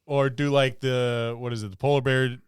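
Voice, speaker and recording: Clear-voiced man chatting as podcast co-host. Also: medium pitch, level tone, moderate pace, no room sound, clean studio sound. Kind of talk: casual podcast chat about tabletop gaming.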